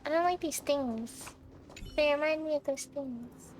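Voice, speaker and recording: High-pitched excitable woman streamer. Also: unhurried, a little hiss or hum. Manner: excitable, exclamatory fangirling. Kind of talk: casual live game reaction commentary. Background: game music.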